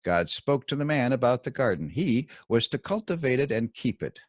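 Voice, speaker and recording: A man reading his own scripted Bible commentary as narration, in measured, deliberate short phrases. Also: medium pitch, big pitch swings, no room sound, studio quality.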